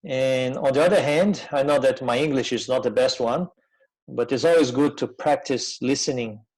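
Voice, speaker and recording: A middle-aged man with a Brazilian accent speaks at a medium pitch as he presents a webinar. His delivery is informal and conversational, with frequent hesitations and pauses as he finds his words in a second language after Portuguese. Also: a little mellow, poor audio. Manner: hesitant, conversational, pausing often.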